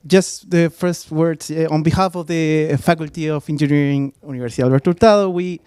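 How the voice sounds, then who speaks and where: A man's voice: fairly high pitch, fairly even intonation, moderate pace, darkish tone, smooth, dry recording, clean studio sound. Hesitant man introducing a guest. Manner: hesitant, many filler sounds, switches between Spanish and English. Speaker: faculty host introducing a guest speaker. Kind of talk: formal talk introduction. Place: university lecture hall.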